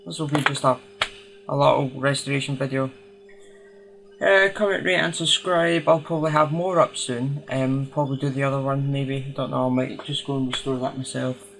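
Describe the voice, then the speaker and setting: Male hobbyist restorer, fry-laden voice, hesitant workbench narration; rough, noisy home recording. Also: mid-range voice, fairly even intonation, moderate pace, fairly bright tone. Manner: hesitant, thinking aloud while tinkering. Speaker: hobbyist restorer hosting a video. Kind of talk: casual unscripted workbench commentary.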